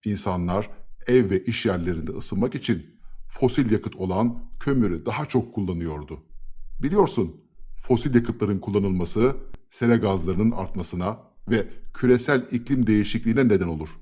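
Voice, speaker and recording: A man's voice: fairly low pitch, expressive, normal speed, mellow, slightly rough. A man playing a kindly grandfather in a scripted educational cartoon, explaining things patiently and with encouragement. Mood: patient, explanatory.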